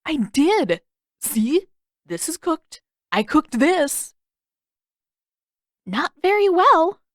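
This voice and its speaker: A woman's voice: high-pitched, very animated, extremely slow, no room sound, studio quality, voice pushed up, full character voice. A woman voicing an indignant, boastful and defensive teenage boy in an audiobook, protesting with emphasis. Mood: proud, defensive. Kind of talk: audiobook character voice.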